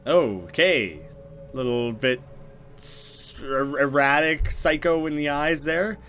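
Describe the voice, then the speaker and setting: A man's voice: a little high, slow, a bit bright, a little hiss or hum. A man doing casual let's play commentary, rambling through wry asides as he goes. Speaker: gaming video host. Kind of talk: casual let's play commentary, reading game text aloud.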